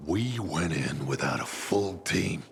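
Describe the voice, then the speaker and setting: Very deep gravelly broken veteran. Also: male voice, expressive, slight background noise, full character voice. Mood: bitter regret. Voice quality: gravelly, breathy low rasp. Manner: slow, rambling, halting. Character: broken, bitter older male former squad leader, haunted. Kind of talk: video game voice acting.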